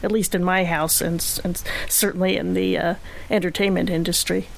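A woman's voice: on the low side, level tone, fairly quick, creaky, poor audio. A middle-aged or older woman co-hosting an interview, chatting casually in a wry, teasing way. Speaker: interview co-host. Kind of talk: casual chat.

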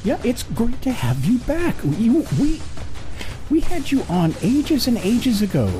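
A man's voice: very high voice, sing-song, a little slow, mellow, soft background music. A man hosting a show, presenting and interviewing in a radio style. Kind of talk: scripted show intro and interview.

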